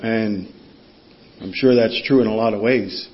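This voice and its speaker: A man's voice: fairly low pitch, steady tone, a little slow. A man preaching a sermon, reading scripture aloud and putting questions to the congregation in an earnest teaching manner. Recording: dull lo-fi sound.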